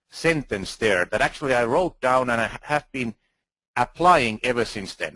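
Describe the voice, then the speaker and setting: A man's voice: medium pitch, a bit bright, dry recording, poor audio. A man from Finland with a Finnish accent, speaking English as a second language while giving an earnest conference presentation with frequent restarts. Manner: earnest, halting, with restarts and fillers. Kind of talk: presentation talk.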